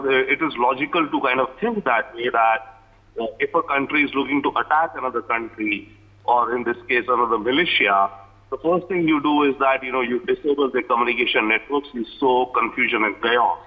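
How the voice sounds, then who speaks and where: A man with an Indian accent giving live news analysis as an expert, explaining point by point. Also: mid-range voice. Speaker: international affairs expert. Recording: thin, phone-like remote line.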